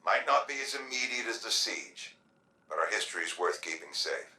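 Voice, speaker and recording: A helpful, easygoing city caretaker in a game. His low voice has a bright edge. It sounds roomy and set back from the mic. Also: normal speed, far from the mic. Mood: friendly. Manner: relaxed, friendly.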